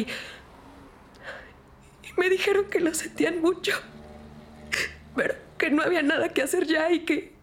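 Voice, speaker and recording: A woman acting a stunned, grieving single mother in an audio crime drama, speaking in a halting, subdued way. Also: sits high. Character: grieving single mother of a boy who just died.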